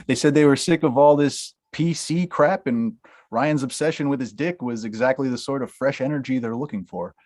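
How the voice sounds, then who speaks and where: Brief: male actor as a crude, laughing agent, middle-pitched voice. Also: normal speed, darkish tone, natural voice. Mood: gleeful. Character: blunt, mocking male Hollywood agent. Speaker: actor at a table read. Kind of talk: comedic table read.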